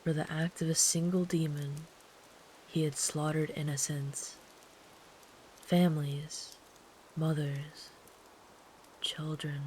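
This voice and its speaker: A woman playing a kind, protective traveler in an ASMR sleep-aid roleplay, speaking gently and soothingly in a hushed, partly whispered voice. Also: deep voice, little pitch movement, slow pace, long pauses, a little hiss or hum, low-quality recording. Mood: hushed, storytelling. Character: kind, protective traveler comforting a runaway by a campfire.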